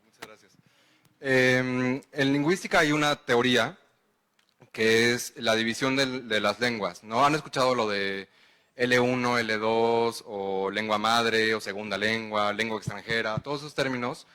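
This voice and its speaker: A man's voice: mid-range voice, measured pace, pushed and bright, clean tone. A man with a Mexican accent giving a conference lecture. He explains step by step and checks in with the audience. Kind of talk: conference lecture answering questions.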